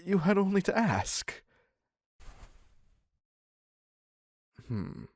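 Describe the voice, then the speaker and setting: A man playing an obsessive, needy captor devoted to his captive in an intimate dark romance roleplay, husky and halting, often dropping into a whisper. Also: high-pitched, sing-song, dry recording, exaggerated voice. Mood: eager, devoted. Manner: halting, pleading, very slow with long pauses.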